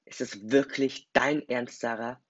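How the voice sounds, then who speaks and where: A man voicing an enraged young man who has just become a vampire, shouting accusations in a husky, gravelly voice. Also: lowish voice, little pitch movement, brisk, no room sound. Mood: furious. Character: furious young man newly turned vampire. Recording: dull dry recording, faint music.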